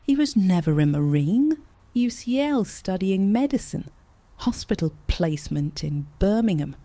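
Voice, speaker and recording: A woman's voice: medium pitch, expressive, a little slow, mellow, no room sound. A woman acting as a cynical, scornful character in an audio drama, blunt and dismissive.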